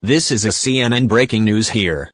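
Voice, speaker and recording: Low-voiced man announcing breaking news, dry sound. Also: moderate pace, no echo.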